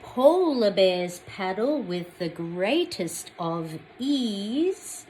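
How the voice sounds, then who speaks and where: An Australian woman reading a picture book aloud to young children, expressively, pausing to put questions to them. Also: medium pitch, slight background noise. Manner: addresses young children directly, expressive storytelling.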